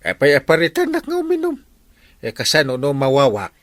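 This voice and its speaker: A man's voice: a little high, huge pitch swings, fairly quick, no echo, studio quality, soft background music. A man with an Ilocano accent playing a boisterous, stubborn and proud village captain in a radio comedy drama. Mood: defensive, indignant.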